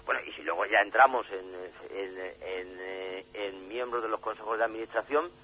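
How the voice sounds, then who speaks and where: A man from Spain gives a radio interview as a journalist and author, stopping and restarting often before speeding through his points. The audio is dull and lo-fi, with a faint hum behind him. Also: on the low side. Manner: halting, many fillers and restarts, then rushes ahead.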